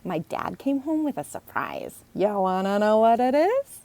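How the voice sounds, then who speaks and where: Sassy kid girl detective, acted by a woman, excitable storybook delivery, big pitch swings, touch of creak. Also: unhurried. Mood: sly, teasing.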